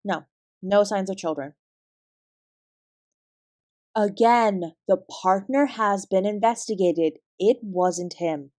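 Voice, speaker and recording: A woman with a Canadian accent playing a guarded, weary fraud investigator, giving a clipped, measured account in an audio drama. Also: mid-range voice, a little mellow, dry recording, studio quality, close to an everyday voice. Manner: measured, clipped report-like delivery. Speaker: investigator being interviewed.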